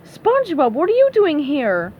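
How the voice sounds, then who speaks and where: A high, animated female cartoon squirrel from Texas, bossy and exasperated as a woman reads her from a storybook. She is emphatic and no-nonsense, with faint noise on the recording. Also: moderate pace. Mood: disbelieving. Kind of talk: read-aloud children's cartoon storybook. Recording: dull, noisy recording.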